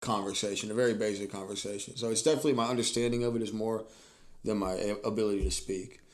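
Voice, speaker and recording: A man hosting a podcast, asking questions in casual chat and speaking haltingly with many restarts. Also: lowish voice, fairly even intonation. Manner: hesitant, halting, full of restarts. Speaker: podcast host.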